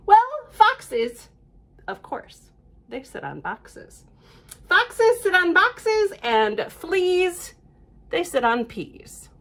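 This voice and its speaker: A woman reading aloud plays a bossy male cat from a picture book who knows everything. He speaks slowly and emphatically, drawing out each pronouncement. The pitch is high and extremely animated. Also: heavily acted. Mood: sure, instructive. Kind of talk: children's storybook read-aloud.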